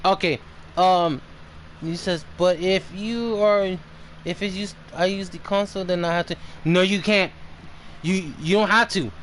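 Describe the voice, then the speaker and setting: A man chatting casually as he live streams a game, speaking in a hesitant, halting way. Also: sits high, fairly bright tone. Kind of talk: casual chat while playing. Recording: faint background noise.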